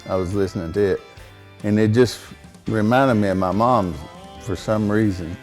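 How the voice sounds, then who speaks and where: A man with a deep baritone voice talks in a relaxed, rambling way, stopping and restarting his sentences often. He sounds plainspoken and unhurried, and faint guitar music plays behind him. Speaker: songwriter guest. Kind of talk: casual conversational interview.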